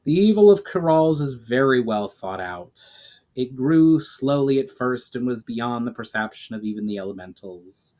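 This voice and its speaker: A man's voice: medium pitch, expressive, normal speed, few pauses, dark tone, lightly acted. Casting: a man as a learned, solemn elf hero for a fantasy storybook, formal and earnest, with varied intonation. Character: grave, knowledgeable male elf mage on a quest.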